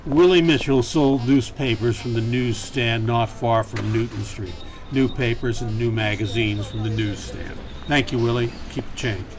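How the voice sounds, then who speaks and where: Man playing a deadpan noir private eye. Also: mid-range voice, moderate pace, close to an everyday voice. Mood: narrating. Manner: deadpan, wisecracking. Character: wry, world-weary male noir private detective. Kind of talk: campy film noir narration and dialogue.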